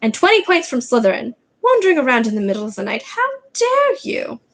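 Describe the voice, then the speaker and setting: A woman voicing a strict older female professor in a storybook reading, sharp and scolding. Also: on the high side, wildly swooping pitch, fairly quick, exaggerated voice.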